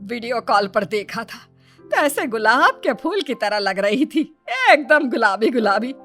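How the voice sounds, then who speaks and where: An elderly Indian grandmother from a cartoon family story, acted by a woman, fusses and scolds with doting insistence. Her voice is high and very bright, swinging widely as she exclaims. Faint music plays underneath. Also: expressive, measured pace. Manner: scolding, fussing, exaggerated exclamations.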